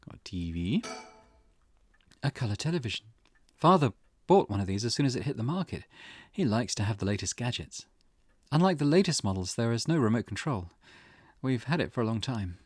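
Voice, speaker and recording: A man voicing a formal, intense, vengeful grieving mother from a visual novel in a slow, dramatic delivery. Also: medium pitch, sing-song, breathy edge, dry recording, studio quality, voice pushed up. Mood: wistful.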